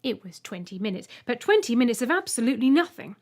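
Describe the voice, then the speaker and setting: A woman narrates a short story in a fairly high voice. Her delivery is scripted audiobook reading, told expressively. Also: big pitch swings, quick pace, darkish tone.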